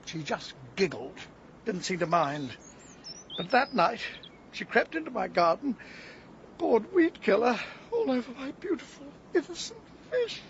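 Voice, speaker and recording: An actor playing an aggrieved, vengeful middle-aged gardener and scoutmaster in a radio drama, with a wounded manner. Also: male voice, very high voice, very animated, full character voice. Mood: bitter, wounded. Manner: wounded, bitter, deliberate. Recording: dull sound, faint background noise.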